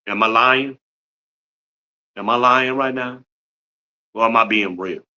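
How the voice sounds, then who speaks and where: Man, 50s, podcast host, blunt and boastful, cursing freely; bright, forceful voice, dull recording. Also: mid-range voice, takes it slowly. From Newark, New Jersey. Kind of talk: profane livestream rant.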